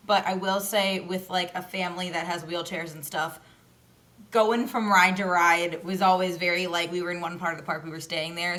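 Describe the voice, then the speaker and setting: A woman's voice: lowish voice, pushed and bright. A young woman co-hosting a casual podcast, chatty and opinionated, often laughing as she talks. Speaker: podcast co-host. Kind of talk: casual chat.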